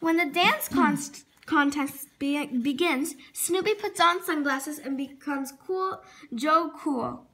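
A very high, bright female voice reads a children's storybook aloud. Her delivery is expressive, with words sometimes stumbled over and then corrected. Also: slow, a little hiss or hum. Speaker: storybook reader.

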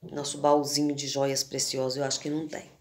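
A hoarse woman in her fifties with a cold, from Brazil, chatting in a homely, devotional way to her viewers and recorded on a phone at arm's length in a room at home. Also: extremely low pitch, little pitch movement. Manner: slow, homely talk with frequent "né" and "gente". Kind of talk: casual devotional chat to viewers. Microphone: phone camera at arm's length.

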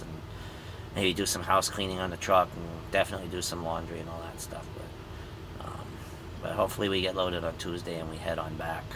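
A man's voice: deep voice, background noise. A man in his fifties, a truck driver and grandfather, gives a rambling, easygoing vlog update from inside his truck cab, recorded on a phone, and says he is tired. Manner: rambling and easygoing, with many ums. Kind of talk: casual vlog update to viewers. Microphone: phone camera at arm's length.

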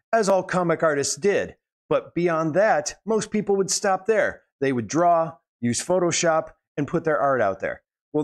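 A middle-aged man hosting a podcast, upbeat and friendly, speaking into a studio microphone with headphones. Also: on the high side. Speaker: podcast host. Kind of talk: scripted podcast intro and interview. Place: home studio with shelves. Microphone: headset with studio microphone.

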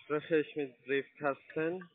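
A man's voice: fairly high pitch, fairly even intonation, slow pace, slight background noise. Mumbling male call-centre agent, tinny line. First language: not German. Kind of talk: halting phone sales call.

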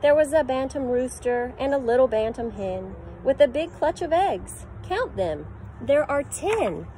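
A woman's voice: sits high, lively intonation, measured pace, mellow, a little hiss or hum, poor audio. A middle-aged woman, a preschool teacher, reading a picture book to children in a sing-song voice, sometimes making animal sounds, recorded outdoors on a phone camera. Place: outdoor deck in a backyard. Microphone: phone camera at arm's length.

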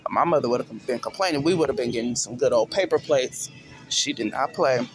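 A woman's voice: rumbling low, brisk, low-quality recording. A woman in her forties, a mom vlogger chatting casually and a little sleepily to viewers while shopping on a budget, filmed on a handheld phone. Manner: chatty, folksy, talks to viewers as "y'all" and "baby". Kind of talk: casual shopping vlog talk. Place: parked car, then a big-box store. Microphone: handheld phone camera.

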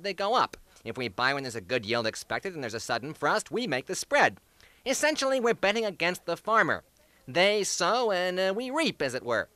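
A high male voice, lively and very bright, in a dry close recording. It is a patronizing, glib commodities man from a comedy sketch, as an actor plays him. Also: no echo. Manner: pompous, smooth-talking, patronizing. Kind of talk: radio comedy sketch acting.

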